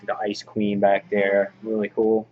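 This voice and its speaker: A man giving an informal, halting show-and-tell commentary as a hobby vlog host, in an understated way. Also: fairly low pitch, monotone. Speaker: vlog host showing a comic book collection. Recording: dull lo-fi audio, clear background noise.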